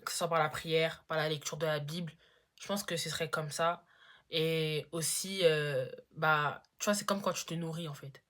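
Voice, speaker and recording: Teen girl rambling through a q&a vlog, lots of fillers, kinda low voice. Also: steady tone, moderate pace, fairly bright tone. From Congo-Brazzaville. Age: teens. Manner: chatty, lots of fillers, slangy. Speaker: YouTuber. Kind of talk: casual Q&A vlog.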